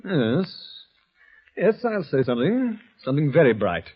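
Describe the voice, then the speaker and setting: An actor playing a defiant, scornful English resistance leader in a 1940s radio drama, speaking in a sardonic, dramatic way. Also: male voice, fairly high pitch, sing-song, measured pace, husky, heavily acted. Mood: bitter disgust. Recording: muffled vintage radio recording.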